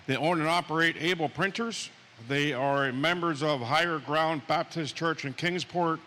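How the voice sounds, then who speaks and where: A somewhat high, bright-voiced man with a Pennsylvania accent introduces a guest in a church. His talk is rambling and conversational, and it rings out with long reverb and a little hiss. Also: moderate pace. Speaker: local ministry member introducing guest. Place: church sanctuary.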